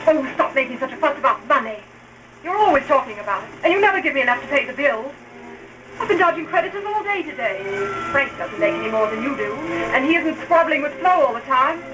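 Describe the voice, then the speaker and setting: An actress playing a quarrelsome young wife in a 1930s melodramatic film style. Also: female voice, sits high, expressive, quick pace. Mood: irritated. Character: nagging young wife, quarrelsome, later contrite. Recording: noisy old film soundtrack.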